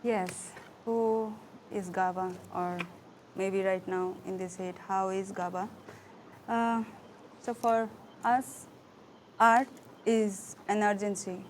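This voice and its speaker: A woman facilitating a symposium session, talking loosely and reflectively with pauses. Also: mid-range voice, fairly even intonation, slight background noise. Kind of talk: casual talk at a gathering.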